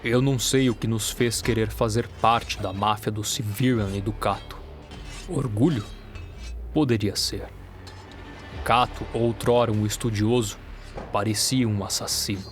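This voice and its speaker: A man's voice: fairly low pitch, fairly even intonation, unhurried. A man with a Brazilian accent giving dramatic first-person narration for an audio drama. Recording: music bed under the voice. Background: music.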